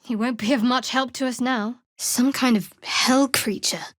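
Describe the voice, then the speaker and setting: A young female cleric of a dark goddess, acted by a woman for a fantasy video game. Her voice sits fairly high and is recorded very dry. She speaks with guarded, measured delivery and a wry, sardonic edge. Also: steady tone, no echo, lightly acted. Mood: measured, serious.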